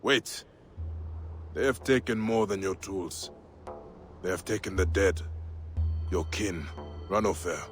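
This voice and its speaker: Deep, brooding ancient Egyptian warrior. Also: male voice, slow pace, long pauses, soft background music. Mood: firm, resolute. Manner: solemn, terse, resolute. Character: brooding ancient Egyptian male protector and avenger. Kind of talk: video game cutscene acting.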